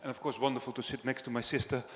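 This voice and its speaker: A man from the Netherlands, chairing a panel in a relaxed, chatty way with frequent ums. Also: medium pitch, racing. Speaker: session chair. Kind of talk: conversational panel hosting. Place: large hall on stage. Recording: echoey hall with long reverb.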